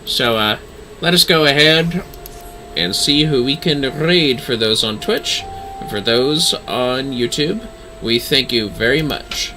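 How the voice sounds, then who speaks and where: A man's voice: medium pitch, lively intonation, slow pace, bright, forceful tone, slight background noise. A man who sounds tired, chatting casually to viewers as a livestreamer.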